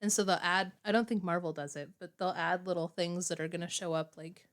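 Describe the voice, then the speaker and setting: A woman's voice: fairly low pitch, fairly quick. Chatty young woman podcast co-host. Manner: chatty, animated, laughs often. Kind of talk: casual podcast chat. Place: small podcast room.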